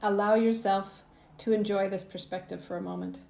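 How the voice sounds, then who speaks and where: A woman narrating a guided visualisation as a coach, in a soothing, gentle, measured manner with phrases that trail off softly. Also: medium pitch, steady tone, vocal fry, echoey room. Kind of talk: guided meditation, scripted narration.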